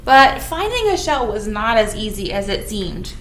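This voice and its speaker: A woman's voice: mid-range voice, very animated, normal speed. A woman leading storytime for young children, reading aloud in a playful, exaggerated way with chatty asides to her little listeners. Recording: roomy echo with background noise.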